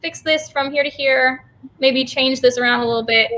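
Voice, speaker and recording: A woman's voice: high-pitched, steady tone, normal speed, pushed and bright, low-quality recording. A young adult woman chatting casually and informally as an interviewee about her work. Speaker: interviewee, theater company co-founder.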